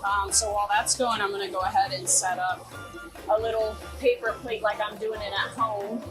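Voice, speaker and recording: A woman's voice: mid-range voice, little pitch movement, moderate pace, over music. A young American woman chatting casually through a cooking demonstration as a chef, informal, slangy and enthusiastic. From Greensboro and High Point, North Carolina, United States. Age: young adult. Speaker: food truck chef.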